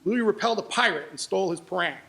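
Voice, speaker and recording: High-pitched, creaky-voiced man giving a presentation. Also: lively intonation, fast, pushed and bright, rough recording. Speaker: sound editor presenting. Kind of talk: public presentation with anecdotes.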